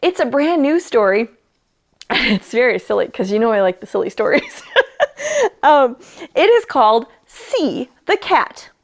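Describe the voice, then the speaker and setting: A woman's voice: fairly high pitch, very animated, a little slow. A woman presenting a children's library storytime, chatting and reading aloud in a playful, enthusiastic way.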